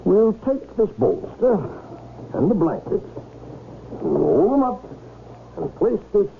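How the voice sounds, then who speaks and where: An actor playing a nervous, secretive gentleman with formal, halting, emphatic delivery in an old-time radio drama. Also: on the high side, creaky, heavily acted. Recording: lo-fi radio broadcast, faint noise.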